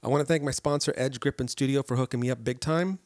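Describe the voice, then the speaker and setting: A man hosts a podcast in a loose, informal conversational style. His phrasing is a bit halting. The sound is dry, with hardly any room in it. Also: medium pitch, a little mellow, dry recording. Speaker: podcast host. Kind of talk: casual conversational interview.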